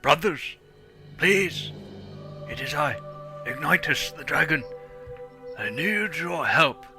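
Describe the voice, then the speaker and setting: A proud, pleading dragon guardian, acted by a man, speaks slowly and gravely in a fairly high male voice. The delivery is theatrical and drawn out, with loud cinematic music behind. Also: animated, full character voice. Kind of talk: dramatic comedic script reading.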